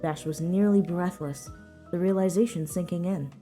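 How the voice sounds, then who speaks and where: A woman's voice: fairly low pitch, fairly even intonation, moderate pace, mellow, soft background music. A woman reading a story aloud as narrator in a scripted narration style. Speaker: narrator, fan fiction reader.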